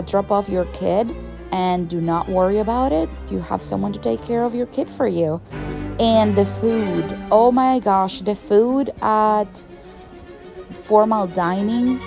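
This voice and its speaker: Young woman travel vlogger from Venezuela, chatty and enthusiastic, slow talker with some vocal fry. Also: medium pitch. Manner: chatty, enthusiastic, laughs often. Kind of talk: casual travel vlog voice-over.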